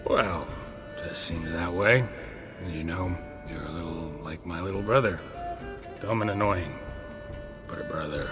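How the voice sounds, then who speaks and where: An actor playing a weary, dry-witted monster hunter in a video game, laconic and deadpan. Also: male voice, sits low, a little slow, long pauses, music in the background. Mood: dry, teasing.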